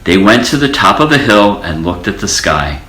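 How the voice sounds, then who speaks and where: A man reading a children's storybook aloud as an expressive storyteller, in an echoey room. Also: on the low side, moderate pace. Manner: expressive storytelling with character voices. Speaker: storybook reader.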